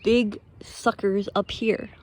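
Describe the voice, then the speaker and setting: A woman speaks slowly in a warm, relaxed tone at a middle pitch. She delivers an unscripted motivational talk with spiritual leanings. Her manner is earnest and halting, built from short phrases and questions put straight to the listener.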